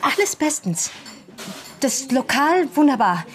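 An actress playing a prim, prickly young secretary in a radio drama, giving curt, clipped replies. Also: female voice, high voice, big pitch swings, a little slow, noisy background. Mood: irritated, flustered.

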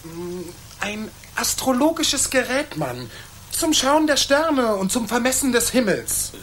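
A woman's voice: a little high, very animated, measured pace, fairly bright tone. A woman playing a haughty, impatient fifteen-year-old boy emperor who talks down to everyone in a historical radio play. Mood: commanding. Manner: imperious, condescending.

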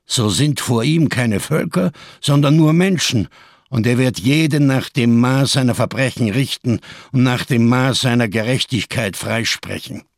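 Gravelly, embittered camp survivor. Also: male voice, medium pitch, a little slow, no room sound, studio quality. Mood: grave, defiant. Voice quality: gravelly, husky. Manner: solemn, rhetorical, biblical diction, bitterly sardonic. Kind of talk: German radio crime drama monologue.

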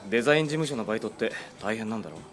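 An actor playing a caring young man in a radio drama, gentle with a friend. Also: on the low side, animated, rapid-fire, lightly acted.

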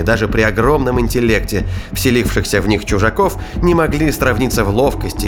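A man giving a dramatic scripted narration of a science fiction thriller. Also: mid-range voice. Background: music.

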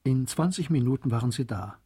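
An actor as the storyteller of a German radio drama, reading scripted literary narration in a dark, husky voice. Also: male voice, medium pitch, expressive, brisk. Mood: measured narration. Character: storyteller narrating a classic adventure tale.

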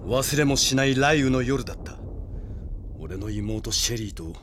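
A man's voice: mid-range voice, expressive, measured pace, noisy background, close to an everyday voice. An actor playing a brash, impassioned French swordsman bent on avenging his sister in a Japanese audio drama. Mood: vengeful.

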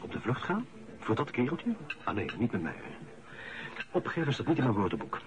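A man's voice: medium pitch, wildly swooping pitch, brisk, highs cut off. A Flemish actor playing an embittered, combative middle-aged office worker in a radio drama, sarcastic about his rival. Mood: defiant.

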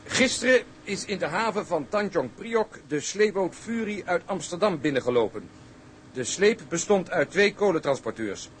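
A man's voice: high voice, animated, normal speed. An actor playing an eager, proud young crewman, declaiming as if reading aloud in a drama. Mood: proud, excited. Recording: background ambient noise.

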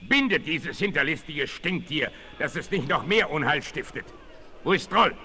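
Bright, forceful western hero. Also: male voice, fairly high pitch, big pitch swings, normal speed, exaggerated voice. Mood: stern. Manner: commanding, emphatic. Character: towering male frontiersman hero, commanding, gruffly good-natured. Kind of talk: 1960s German western radio play acting. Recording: 1968 vinyl record, faint noise.

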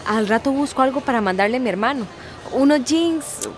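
A woman's voice: high-pitched, animated, brisk, faint music behind. An actress playing a modest, hesitant young migrant woman in a radio soap. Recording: faint crowd behind.

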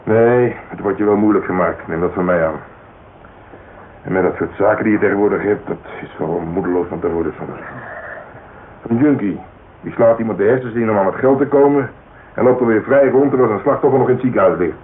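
An actor playing a jaded, blunt, middle-aged old-school police detective in a radio drama, grumbling and needling. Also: male voice, on the low side, a little fast, natural voice. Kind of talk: naturalistic radio drama dialogue. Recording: tinny, noisy old radio recording.

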